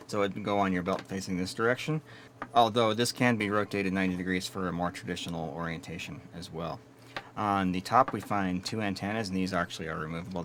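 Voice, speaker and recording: A man giving a steady, methodical hands-on walkthrough of equipment he is reviewing. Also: low voice, slight background noise. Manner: steady, methodical explaining with small restarts. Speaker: product reviewer.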